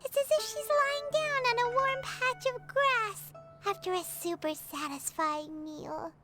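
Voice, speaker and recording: Tiny floaty game sidekick, super squeaky, swoops all over, music behind. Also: female voice, fairly even intonation, unhurried. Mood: dreamy, contented. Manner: speaks of self in third person, exclamatory. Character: tiny childlike floating companion sprite, excitable and talkative. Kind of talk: video game character acting.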